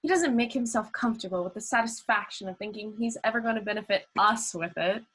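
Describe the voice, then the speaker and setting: A woman's voice: medium pitch, fast talker, a bit bright, slightly rough. A young woman acting a cheerful, forgiving relative of a miser in a radio play. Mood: good-humored, forgiving.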